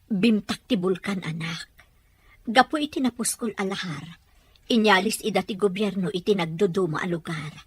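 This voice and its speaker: A woman playing a tender, sorrowful mother with a husky voice and a Filipino accent in an audio drama. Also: medium pitch, normal speed, no echo. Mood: regretful. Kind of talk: Ilocano audio drama acting.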